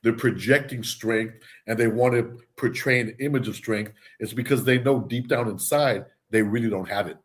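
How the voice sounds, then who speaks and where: A man with a loud voice speaking forcefully in a casual panel chat. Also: mid-range voice, normal speed, few pauses.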